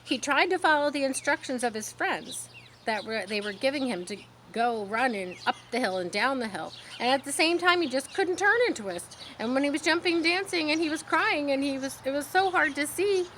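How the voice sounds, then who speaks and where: A woman reading a children's story in an expressive storytelling style, with informal asides. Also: high-pitched, normal speed. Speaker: storybook reader. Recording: faint noise with birdsong behind. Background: birdsong.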